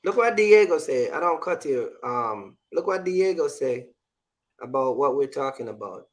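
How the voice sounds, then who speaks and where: A man's voice: medium pitch, big pitch swings, normal speed. A man hosting a casual, opinionated discussion, repeating phrases and often checking that he is understood. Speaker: show host.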